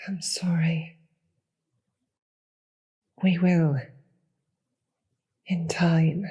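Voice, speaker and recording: A performer voicing a gentle, loyal servant of a demon queen in an audio roleplay, breathy, tender and reassuring. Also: mid-range voice, flat delivery, very slow, muffled recording, voice pushed up. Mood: tender, consoling. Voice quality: breathy, soft. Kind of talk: dramatic audio roleplay acting.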